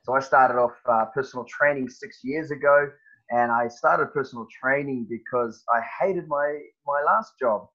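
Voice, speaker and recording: Kiwi man in his thirties, podcast guest, halting laid-back talker full of "man" and "bro", muffled lo-fi recording. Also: mid-range voice, fairly bright tone. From New Zealand. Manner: halting, many fillers and restarts, says "man" and "bro". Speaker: guest, personal trainer. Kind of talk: casual podcast chat.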